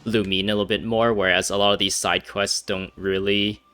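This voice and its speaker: A man's voice: on the low side, level tone, normal speed, bright, forceful tone, smooth. A man giving casual gameplay commentary, rambling with many fillers and restarts. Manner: rambling, hesitant, frequent fillers and restarts, laughs often. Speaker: gaming commentator.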